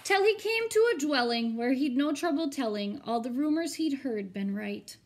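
A woman's voice: sits high, expressive, normal speed, slight background noise. A woman teacher reading a picture book aloud expressively, with informal, chatty asides for children.

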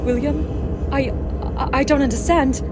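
A woman's voice: high-pitched, steady tone, normal speed, natural voice. An actress playing a distraught, grieving woman at a seance in an audio play. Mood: confused, frightened. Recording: loud eerie music under the voice.

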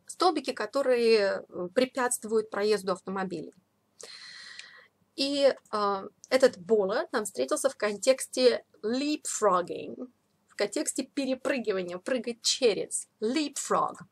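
A woman teaching a vocabulary lesson in an informal, explanatory way, repeating key words slowly for learners. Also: a little high. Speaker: English teacher. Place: voice-over of a screen recording.

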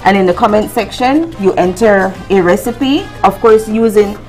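A woman's voice: medium pitch, normal speed, darkish tone, soft background music. A middle-aged woman, chatting as a brand representative as she explains promotions and products. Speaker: brand representative guest on a cooking show. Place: TV studio kitchen set. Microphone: lapel mic.

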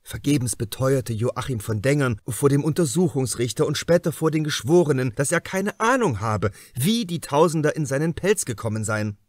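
A man's voice: mid-range voice, lively intonation, moderate pace, hardly any pauses, no room sound, clean studio sound. A man reading a crime novel aloud as a scripted audiobook narration.

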